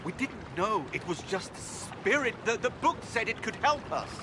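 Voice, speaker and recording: Flustered male mage scholar, game role: high-pitched, very animated, defensive and pompous. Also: moderate pace, background noise. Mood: pleading. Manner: pedantic, flustered. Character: self-important, defensive male mage scholar, anxious and exhausted. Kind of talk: video game dialogue.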